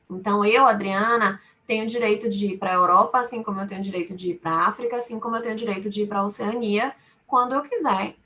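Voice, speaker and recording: Bright, higher-voiced Brazilian woman from Salvador. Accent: Brazilian, Salvador (Bahia). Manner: didactic, explains with everyday examples and repeated phrasing. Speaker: panel speaker, law firm lawyer. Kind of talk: explanatory webinar talk, unscripted.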